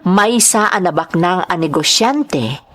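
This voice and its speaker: A woman's voice: fairly low pitch, lively intonation, a little slow, fairly bright tone. A woman with a Filipino accent playing an earnest young nightclub entertainer, telling her story expressively in a radio-style drama. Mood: earnest confiding.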